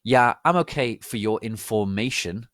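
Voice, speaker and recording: A man's voice: lowish voice, normal speed, no room sound, studio quality, natural voice. Man putting on overdramatic gothic vampire girl Enderby. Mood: snappy alarm. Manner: exclamatory, overdramatic, spells out internet slang. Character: Enderby, excitable young gothic vampire girl, snappish drama queen.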